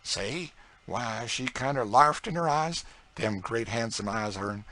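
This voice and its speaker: A boastful, chattering woman cook with thick old rural dialect speech, as a male reader puts her on. She swoops through a lively, raised pitch and talks loud and bright, scolding and bragging in turn. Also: unhurried. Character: proud talkative woman cook, middle-aged. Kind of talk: audiobook character reading.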